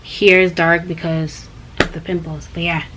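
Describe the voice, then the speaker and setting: A young woman vlogging in a loose, rambling way, addressing viewers directly. Her voice sits a little low for a woman. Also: flat delivery, normal speed, over loud music, low-quality recording. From Canada. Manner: rambling, chatty, talks straight to viewers. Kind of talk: casual personal vlog talk.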